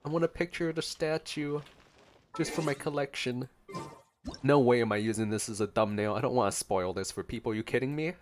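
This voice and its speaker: A man hosting a gaming playthrough, reacting in casual, emotive commentary with gushing exclamations and mellow asides. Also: mid-range voice, a little fast, faint music behind. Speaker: gaming video host.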